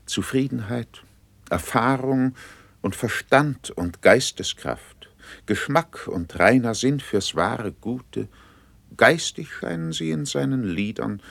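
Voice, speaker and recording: Eloquent courtier, slow and gravelly. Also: male voice, lowish voice, lively intonation, no room sound, heavily acted. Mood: admiring, eloquent. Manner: measured, declamatory, ornate. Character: eloquent, worldly courtier, admiring and self-assured. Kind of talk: classical verse radio drama.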